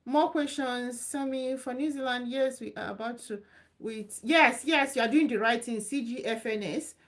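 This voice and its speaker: Woman, live Q&A host, casual and reassuring, fairly high voice. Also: moderate pace. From Nigeria. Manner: chatty, loose and repetitive, reassuring. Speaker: live stream host answering visa questions.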